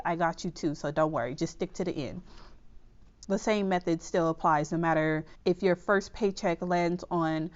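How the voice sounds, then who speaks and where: Woman, slightly stuffy and nasal, low voice, smooth tone, casual budgeting explainer, muffled audio. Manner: chatty, reassuring, checks in with "okay?". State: under the weather, slightly nasal. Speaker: budgeting channel host.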